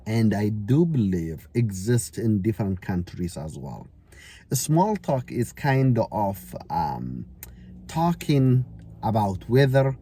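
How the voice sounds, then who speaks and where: A man teaching to learners, repeating each example phrase slowly, with grammar. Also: medium pitch, mellow, slightly rough. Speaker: English teacher. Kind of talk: casual spoken English lesson. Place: Canada.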